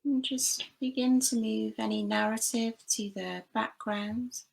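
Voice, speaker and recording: A woman leading a guided body scan meditation, giving gentle, unhurried instructions with long pauses between phrases. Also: fairly high pitch, fairly even intonation, vocal fry, poor audio. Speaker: meditation teacher.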